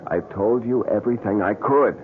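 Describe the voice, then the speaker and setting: An actor playing a stubborn, evasive man in a radio drama, husky and defensive, stammering as he argues. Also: lowish voice, moderate pace, lightly acted. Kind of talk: old-time radio drama acting. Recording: narrow-band vintage radio recording.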